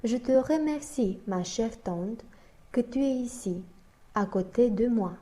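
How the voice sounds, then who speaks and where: A woman slowly reading a scripted learner dialogue aloud, naming each speaker before their line. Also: on the high side, expressive, warm tone. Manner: slow, deliberate, reads both parts and announces each speaker.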